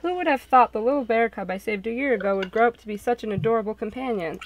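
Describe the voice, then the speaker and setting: A woman reading expressive scripted narration for a visual novel. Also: a little high, fast talker, muffled recording. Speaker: narrator and game developer. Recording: clicks and clear background noise.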